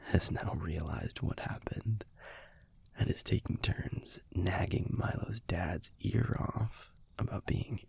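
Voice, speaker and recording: A man playing an affectionate young alpha werewolf boyfriend in an intimate audio roleplay, talking softly and casually in a breathy, gravelly near-whisper. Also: low-pitched, full character voice. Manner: soft, unhurried, casual, salty-tongued.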